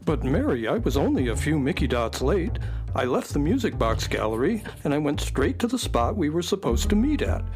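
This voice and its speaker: Man as an animated, grumbling space horse. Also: on the high side, moderate pace, faint music behind. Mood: defensive. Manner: expressive, indignant. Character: male space horse, grumbling but friendly sidekick. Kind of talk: children's radio drama acting.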